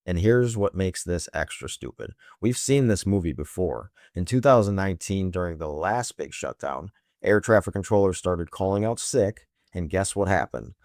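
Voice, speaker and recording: A man's voice: low-pitched, lively intonation, moderate pace, a little mellow, no room sound, clean studio sound. A man hosting scripted political commentary in a sarcastic, emphatic manner full of rhetorical questions. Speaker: host of a political news commentary show.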